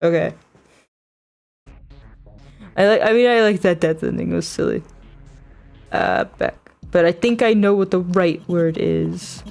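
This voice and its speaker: A woman's voice: fairly low pitch, big pitch swings, measured pace, creaky, pauses often, darkish tone. Woman streaming games casually over music. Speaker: game streamer. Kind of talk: casual live gaming commentary.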